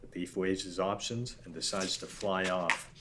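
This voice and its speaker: A man's voice: sits low, fairly even intonation, normal speed. A man narrating a script, reading out scene headings and stage directions. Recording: background room noise.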